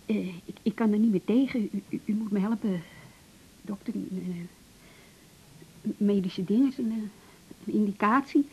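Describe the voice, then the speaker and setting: An actress playing a depressed, pleading woman patient in a radio drama, halting and stammering in short broken phrases. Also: mellow, slightly rough, highs cut off. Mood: anxious, pleading. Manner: halting, stammering, repeats words, breaks into short fragments. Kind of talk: naturalistic 1980s Dutch radio drama acting. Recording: noisy older radio recording with rumble.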